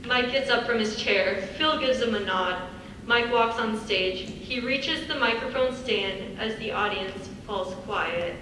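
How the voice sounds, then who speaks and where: Young woman reading out stage directions, bright forceful voice, far off in an echoey hall. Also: a little high, unhurried. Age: young adult. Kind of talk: scripted narration at a live table reading. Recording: distant echoey hall, faint noise.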